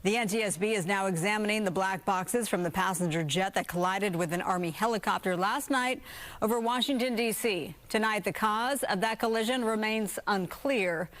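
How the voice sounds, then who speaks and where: A female news anchor with an American accent reads in a scripted broadcast style. Her pitch sits in the middle range for a woman, and she presents as a studio newsreader would. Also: normal speed, fairly bright tone. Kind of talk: scripted newscast delivery.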